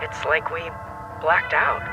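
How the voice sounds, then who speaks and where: A woman playing a dramatic leading-lady actress, telling her story earnestly and haltingly in a TV interview. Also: sits low, expressive, lo-fi sound, over music, heavily acted. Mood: insistent, mysterious. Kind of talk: TV talk show interview acting in audio drama.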